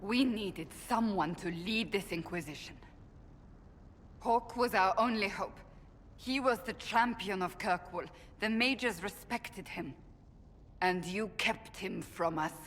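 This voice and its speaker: Casting: actress for anguished, accusing female warrior, fantasy game, mid-pitched, long hall reverb. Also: slow pace, natural voice. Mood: furious, accusing. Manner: intense, accusing, bitter. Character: stern, anguished woman warrior of the Inquisition. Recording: echoing hall with rumbling background noise.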